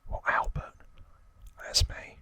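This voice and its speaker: A man's voice: takes it slowly, bright, forceful tone, no room sound. A man voicing a boastful, threatening London gangster twin in a put-on Cockney accent, whispering his slangy lines from a game manual. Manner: threatening, slangy, self-important.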